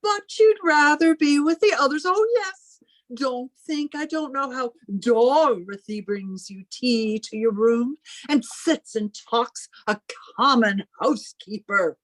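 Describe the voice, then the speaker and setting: Stern, high-voiced older spinster. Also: female voice, slow, no echo, poor audio. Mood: reproachful, indignant. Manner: stern, reproachful, formal. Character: Emma, graying, commanding, possessive, suspicious elder spinster sister. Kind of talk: old time radio drama acting.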